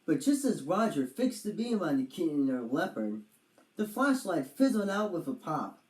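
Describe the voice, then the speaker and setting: A storybook narrator reading a scripted children's tale in an animated style. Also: mid-range voice, normal speed, roomy sound, low-quality recording.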